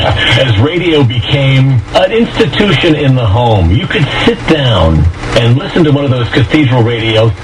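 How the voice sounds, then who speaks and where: A man giving evocative, nostalgic narration as a radio history commentator. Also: on the high side. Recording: distant voice with clear background noise.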